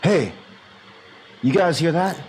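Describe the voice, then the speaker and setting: A man's voice: fairly high pitch, animated, takes it slowly, darkish tone, voice pushed up. A man putting on the voice of a startled young man in the woods, calling out in a raised voice. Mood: alarmed.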